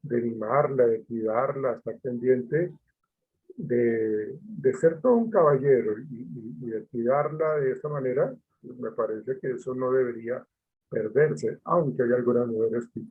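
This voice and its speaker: A man's voice: medium pitch, slightly rough, phone-quality sound. A man, a teacher leading an online class discussion, hesitant with many fillers and repetitions.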